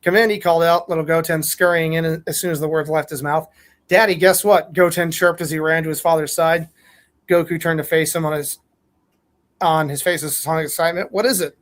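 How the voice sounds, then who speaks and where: A man's voice: a little high, little pitch movement, a little fast. A man hosting a podcast, reading fan fiction aloud and chatting casually. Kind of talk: casual read-aloud and group chat.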